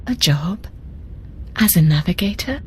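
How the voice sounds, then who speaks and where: A proud, formal woman navigator from an adventure game, avoiding contractions. Her lowish voice is breathy and half-whispered, with faint ambient noise behind it. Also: expressive, a little slow. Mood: earnest.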